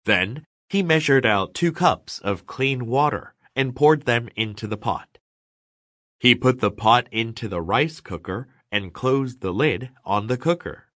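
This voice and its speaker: A man reading step-by-step sentences for an English lesson, deliberate and clearly enunciated. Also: mid-range voice, animated, fairly bright tone, no room sound, clean studio sound. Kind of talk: scripted read-along narration.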